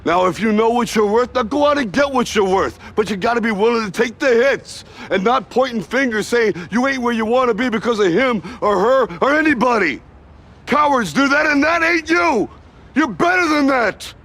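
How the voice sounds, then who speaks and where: A man's voice: mid-range voice, expressive, normal speed, close to an everyday voice. An actor playing an older, devoted father in a naturalistic film drama, speaking in plain working-class grammar. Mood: impassioned, rousing. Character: ageing, plain-spoken, devoted father giving his son tough love. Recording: film audio with faint background noise.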